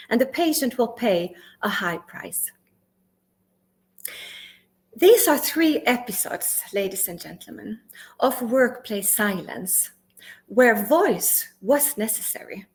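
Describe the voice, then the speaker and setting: A middle-aged woman from Sweden gives a prepared conference talk in English. She speaks with emphasis, asks the audience questions, lists words one at a time with pauses, and makes small grammar slips and restarts. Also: on the high side. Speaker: conference speaker and workplace consultant.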